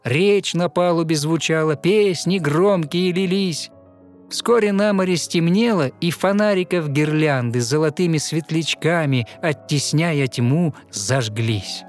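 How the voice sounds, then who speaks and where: A man narrating a fairy tale in verse with expressive, rhythmic recitation. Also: fairly high pitch, a little slow. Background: music behind the voice.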